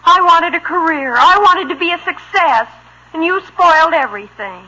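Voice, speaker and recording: An actress playing a melodramatic, starstruck American teenage daughter in a 1950s radio sitcom, theatrical and plaintive. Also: female voice, very high-pitched, lively intonation, moderate pace, full character voice, rough recording. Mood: melodramatic despair. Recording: bright old radio recording.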